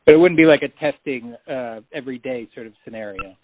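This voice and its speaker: A man's voice: medium pitch, fast talker, no room sound. A man working as a television reporter asks questions on a press conference call, phrasing them tentatively. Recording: dry, tinny phone line.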